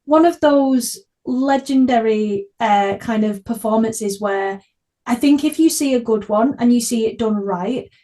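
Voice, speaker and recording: Female podcast host, fairly high voice, earnest and effusive, informal interview chat. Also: unhurried, dark tone.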